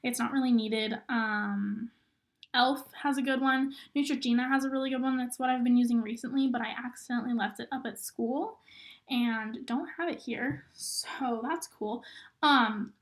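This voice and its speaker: A high-pitched young woman talks through a makeup how-to in a loose, chatty way, filling her sentences with "um" and "like." Her voice is a bit hoarse as she gets over being sick. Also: steady tone, moderate pace. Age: young adult. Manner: chatty, filler-heavy, lots of "um" and "like". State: hoarse, recovering from illness. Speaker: rodeo queen giving makeup tips. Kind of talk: casual tutorial vlog.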